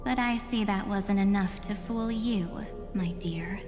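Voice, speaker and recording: Woman acting a slow, enigmatic, gentle spirit girl. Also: mid-range voice, close to an everyday voice. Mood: coy, teasing. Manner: soft, coy, unhurried, with breathy trailing ends. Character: enigmatic, gentle, teasing shadowy young woman of memory. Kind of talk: dramatic video game dialogue. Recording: reverberant hall sound under music.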